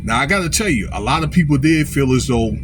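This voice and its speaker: A man with a mid-pitched voice delivers off-the-cuff commentary. His delivery is halting and informal, punctuated by small check-in phrases. The recording has clearly audible background noise. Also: animated. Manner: halting delivery, frequently checks in with "okay" and "all right". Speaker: online commentator.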